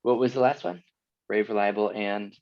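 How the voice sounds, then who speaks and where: Male host, deep voice, friendly facilitator, lo-fi call audio. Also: vocal fry, no echo. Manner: friendly, apologetic, quick asides. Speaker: host of an online workshop. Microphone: video call.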